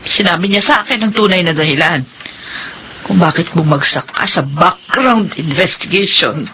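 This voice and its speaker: A woman's voice: lowish voice, moderate pace, sharp tone, a little hiss or hum. An actress playing an anguished middle-aged Filipino mother in a radio drama, with an emotive, pleading delivery. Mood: grave, earnest. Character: guilt-ridden, anguished mother of grown children. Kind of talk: Tagalog radio drama acting. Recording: music under the voice.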